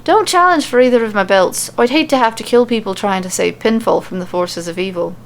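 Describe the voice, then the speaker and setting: A woman's voice: medium pitch, a little fast, slight background noise, lightly acted. A woman voicing a curious, decisive female leader of a fantasy quest. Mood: assertive, curious.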